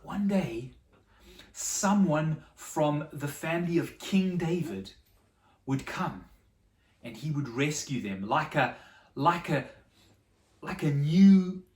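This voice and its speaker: Storyteller for a children's Bible tale, pausing and repeating for drama, heard from a distance in a roomy space. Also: mid-range voice, lively intonation. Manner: dramatic pauses and repetition for emphasis. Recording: echoey room, distant microphone.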